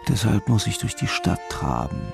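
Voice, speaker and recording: An actor playing a brooding, solitary investigator in a radio drama, musing intimately in short, halting phrases with a gravelly voice. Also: male voice, fairly low pitch, big pitch swings, exaggerated voice. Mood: brooding, wistful. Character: brooding solitary male investigator in inner monologue. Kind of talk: radio drama inner monologue. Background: accordion and violin music.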